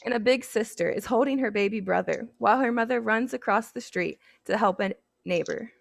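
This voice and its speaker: A young woman, a student volunteer, introducing herself and reading a picture book aloud in a gentle, even storytime voice over a video call. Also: fairly high pitch, fairly quick, gravelly, no room sound. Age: young adult.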